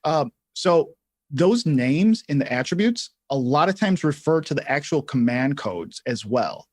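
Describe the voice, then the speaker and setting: A man hosting a casual live software tutorial, thinking aloud in a halting way as he explains things step by step. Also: a little high, big pitch swings, no room sound, studio quality. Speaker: livestream host and software instructor.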